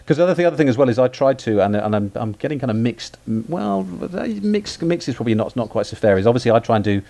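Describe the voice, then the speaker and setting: A man's voice: mid-range voice, animated, quick pace, gravelly, warm tone. A British man, a history podcaster, chatting as a guest over an internet call, full of restarts and fillers. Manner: hesitant, many restarts and fillers. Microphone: remote call audio.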